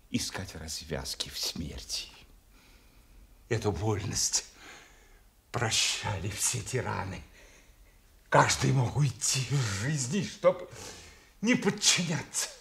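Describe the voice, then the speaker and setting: Blind old noble in a stage tragedy, low and slow, solemn, full of grief and despair. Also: male voice, vocal fry, a bit bright, full character voice. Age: older. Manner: slow, solemn, grief-laden theatrical delivery. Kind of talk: classical stage tragedy for radio.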